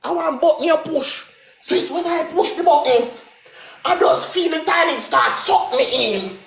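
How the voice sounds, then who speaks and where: A young man with a Jamaican accent chatting and telling stories in an excitable, exclamatory way on a livestream. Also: very high-pitched, unhurried, gravelly. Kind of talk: casual comic storytelling on a livestream. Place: indoors in a small bare-walled room. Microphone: phone close in front, split-screen live call. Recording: echoey bare room.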